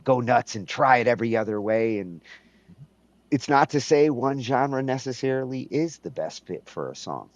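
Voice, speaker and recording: A man co-hosting a casual chat about songwriting, talking in a halting way with frequent restarts. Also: mid-range voice, expressive, no room sound. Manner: slow, halting, restarts often. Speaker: podcast co-host.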